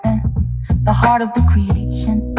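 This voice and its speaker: A woman raps in measured rhymes that drift into singing. Her voice is fairly high and stays in a narrow melodic band, declarative throughout. Loud hip hop music plays behind her. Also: little pitch movement. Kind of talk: rhythmic spiritual rap, partly sung.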